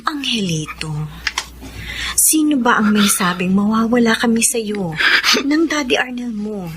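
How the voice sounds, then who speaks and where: A woman's voice: fairly high pitch, expressive, a little slow, slight background noise. A woman playing a loving, reassuring adoptive mother in a radio drama, tender and earnest.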